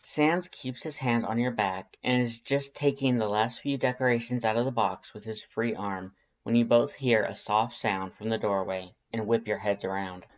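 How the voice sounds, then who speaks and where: A man reading fan fiction aloud as expressive scripted story narration. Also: mid-range voice, moderate pace, few pauses, muffled recording.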